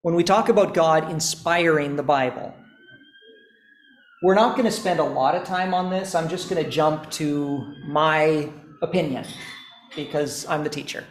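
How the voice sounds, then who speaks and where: A male teacher lectures slowly and deliberately, pausing between phrases. His voice sits a little high. The room around him is echoey. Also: a little hiss or hum. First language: not English, also speaks Estonian. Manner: slow, deliberate explaining with pauses. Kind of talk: classroom lecture.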